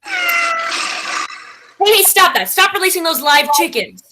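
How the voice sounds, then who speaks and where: A woman's voice: extremely high pitch, animated, unhurried, pushed and bright, pitched above the performer's own voice, exaggerated voice, low-quality recording. Woman acting a blustering, swooping hotel manager. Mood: alarmed, angry. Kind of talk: comic radio play acting.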